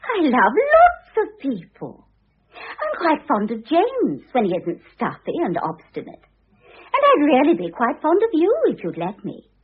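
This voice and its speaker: Vain grasping young society woman, super high voice, haughty, old radio play, thin phone-like sound. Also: wildly swooping pitch, unhurried, heavily acted. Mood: airy, evasive. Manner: theatrical, self-satisfied, haughty. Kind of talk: classic radio drama acting.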